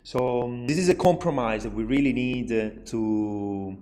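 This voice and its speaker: A man's voice: medium pitch, measured pace, mellow, reverberant. A man whose first language is Italian, talking casually to camera as a YouTuber, thinking aloud with lots of fillers and "okay?" check-ins. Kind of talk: casual advice talk to camera. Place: at home by his computer.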